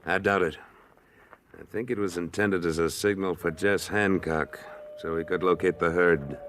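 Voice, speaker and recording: A man's voice: deep voice, fairly even intonation, moderate pace, a bit bright. An American man acting a tough, terse trail boss in an old-time radio western. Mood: dry, wary. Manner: terse, blunt, authoritative. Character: tough, commanding cowboy trail boss of a cattle drive. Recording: noisy vintage radio recording.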